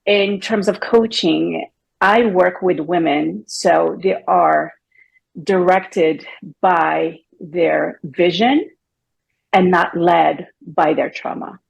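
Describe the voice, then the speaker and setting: A woman's voice: lowish voice, slow, creaky, breathy edge, dull audio. A middle-aged woman from Morocco with a Moroccan accent, a guest coach chatting loosely with filler words and restarts. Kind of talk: casual interview talk.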